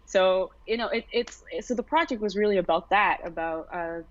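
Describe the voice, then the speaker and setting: A woman's voice: mid-range voice, moderate pace, no echo, dull audio. A young American woman, an artist being interviewed, speaking casually with many fillers and restarts. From United States.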